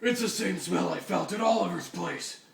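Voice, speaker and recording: A man's voice: high-pitched, animated, normal speed, creaky. A man voicing a grim masked vigilante detective in a terse, melodramatic way for a fan fiction reading. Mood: grave. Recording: echoey room, distant noisy mic.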